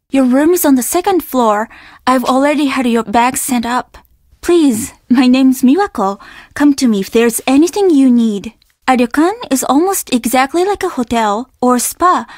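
A woman's voice: sits high, no room sound, studio quality. A woman voicing a courteous, reassuring young inn receptionist in a video game, eager to please. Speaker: voice actor playing an inn receptionist. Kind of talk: video game dialogue lines.

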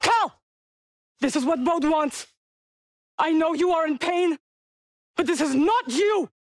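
A woman playing a solemn, guarded young witch warrior in a video game, dryly wry, with measured, formal phrasing. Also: very high-pitched, no echo, studio quality. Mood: urgent, pleading. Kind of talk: video game character dialogue.

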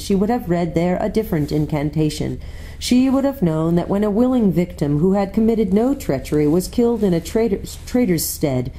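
A great, majestic lion from a storybook, read by a woman. The voice is low and mellow, with solemn, measured phrasing and a wise, grand temper. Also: close to an everyday voice, rough recording.

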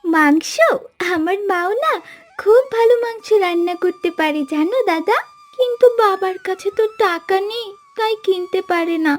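Very high-pitched wistful little girl. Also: normal speed. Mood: wistful, timid. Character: poor little village girl, wistful and hungry. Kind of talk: cartoon fairy tale voice acting.

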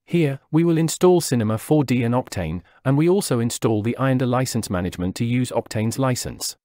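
A man narrating a scripted software tutorial, walking through each step in a steady, instructional way. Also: medium pitch, fairly quick, dry recording, clean studio sound. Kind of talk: scripted software walkthrough voice-over. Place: voice-over over screen recording.

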